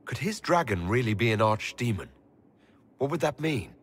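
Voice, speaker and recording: A man playing a skeptical but principled elf leader in a fantasy game, measured, questioning and earnest. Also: mid-range voice, big pitch swings, fairly bright tone, breathy edge. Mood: concerned. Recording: game dialogue over faint music.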